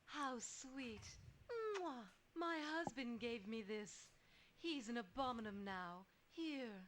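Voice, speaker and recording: A woman voicing a grateful village wife in an old video game cutscene, speaking sweetly and gently. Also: fairly high pitch, lively intonation, slow, slight background noise. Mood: tender.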